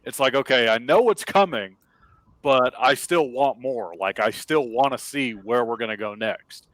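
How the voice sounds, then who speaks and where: A man's voice: mid-range voice, moderate pace, a bit bright, dry recording. A man in a casual group discussion, informal and agreeable as he chimes in. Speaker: discussion panelist. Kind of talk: casual chat.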